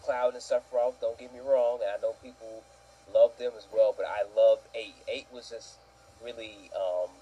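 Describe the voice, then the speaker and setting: Man, dark mellow tone, husky, average pitch, casual enthusiastic fan talk, halting delivery. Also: steady tone, rough recording. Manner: enthusiastic, halting, circles back over words.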